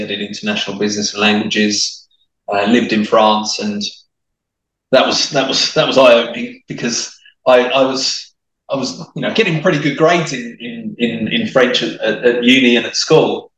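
A man's voice: lowish voice, fairly even intonation, normal speed, a bit bright, recorded from a distance. A middle-aged man whose first language is Italian, with a mixed, hard-to-place accent, talking casually as an interview guest, full of restarts and repeated words. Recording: echoey, from off the mic.

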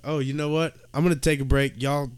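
Young American man, higher-pitched voice. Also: level tone, brisk, crowd noise. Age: early twenties. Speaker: podcast host. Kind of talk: casual chat.

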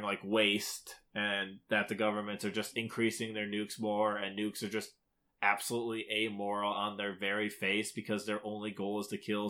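A man's voice: fairly low pitch, sharp tone. A man co-hosting a podcast, chatting casually about a video game in an informal, opinionated way. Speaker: podcast co-host.

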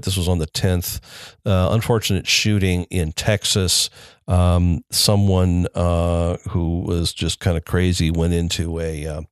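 Guy with a really low voice doing a solo podcast at home, lots of uhs and restarts, dry close mic. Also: no room sound. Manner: halting, many fillers and restarts. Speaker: podcast host. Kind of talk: unscripted solo podcast talk. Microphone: close desk mic.

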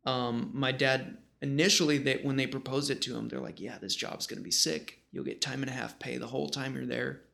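A man's voice: mid-range voice, fairly even intonation, brisk, creaky, hardly any pauses, sharp tone. An American man in his thirties from small-town New York, telling stories casually in a slangy, rambling, informal way. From small-town western New York, United States. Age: 30s. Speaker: guest. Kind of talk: casual conversational storytelling.

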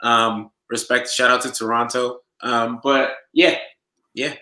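A man's voice: mid-range voice, level tone, unhurried, sharp tone, roomy sound. A man chatting casually on a podcast as a show regular, laid-back and enthusiastic, with lots of fillers and restarts. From United States, Nigerian heritage. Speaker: supporter group DJ and show regular.

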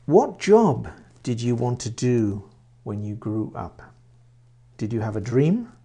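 Older male teacher in his sixties, mixed English-Welsh accent, gravelly and unhurried, repeats himself and quizzes learners. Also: mid-range voice, big pitch swings, dark tone. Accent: mix of English and Welsh. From England. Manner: repeats words and asks the listener questions. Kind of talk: slow, simple English for learners.

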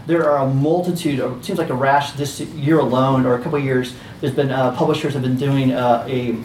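A man's voice: darkish tone, a little hiss or hum. A man teaching a casual class, talking in a chatty way with lots of fillers and restarts. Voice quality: squeaky. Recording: roomy, distant, faint ambience.

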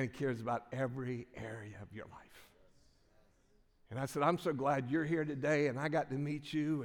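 An older male pastor preaching in a church sanctuary, his slightly high voice ringing with a long reverb. He tells stories in a folksy, conversational way, stumbling and restarting often. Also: fairly bright tone. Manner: folksy storytelling with many restarts. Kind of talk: sermon with personal story.

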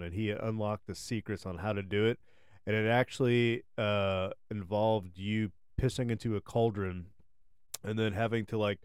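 A man's voice: on the low side, smooth, no echo, studio quality. Nasal, congested man chatting loosely. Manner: rambling, chatty, swears freely. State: congested from allergies. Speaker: podcast co-host. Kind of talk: casual chat.

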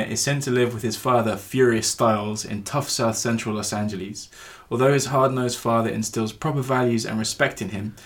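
A man hosting a podcast, moving between scripted introduction and casual chat. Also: mid-range voice. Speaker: podcast host.

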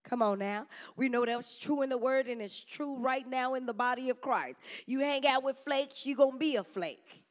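High-voiced woman preaching to her church. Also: moderate pace, hardly any pauses. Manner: conversational preaching with call-and-response asides; says she has a strong voice. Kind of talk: sermon.

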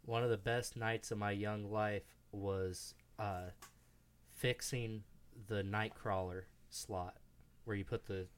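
A man's voice: lowish voice, takes it slowly, clean tone. Slangy young man telling stories. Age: 20s. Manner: animated storyteller, slangy, calls people dude. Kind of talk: casual chat.

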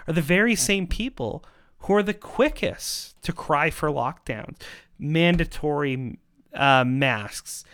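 A man giving a persuasive monologue in an emphatic, rhetorical manner, with dramatic pauses and repetition. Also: on the high side, fairly bright tone, clear, resonant tone, no room sound. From Canada. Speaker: podcast host and pro-life activist.

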